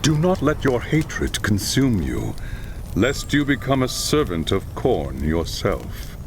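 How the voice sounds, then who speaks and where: An actor playing a grave, moralizing counsellor in a fantasy video game, delivering formal, sententious warnings. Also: male voice, lowish voice, sing-song, slow pace, slight background noise, full character voice. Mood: stern caution. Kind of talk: video game cutscene acting. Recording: loud music behind.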